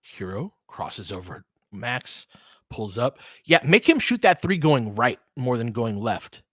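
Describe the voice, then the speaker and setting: Middle aged guy doing chatty live hoops commentary, bright punchy voice, dry close sound, opinionated. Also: medium pitch, big pitch swings, measured pace, breathy edge, no room sound. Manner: conversational, opinionated, reacts aloud to the action. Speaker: online basketball play-by-play host. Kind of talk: casual live play-by-play commentary mixed with chat.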